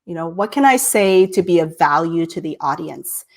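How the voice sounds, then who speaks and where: A woman's voice: lowish voice, lively intonation, normal speed. A woman from Texas hosting a live-streamed interview, a bit nasal, enthusiastic and full of "right?". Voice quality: slightly nasal. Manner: enthusiastic, many "right?" and "I love it". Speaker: host.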